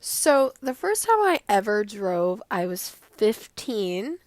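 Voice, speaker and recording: A teenage girl hosting her own podcast, slightly hoarse from a cold, chatting casually and rambling with lots of fillers. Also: mid-range voice, very animated, slow pace, darkish tone, no room sound, clean studio sound. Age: teens. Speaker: podcast host. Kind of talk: casual solo podcast chat.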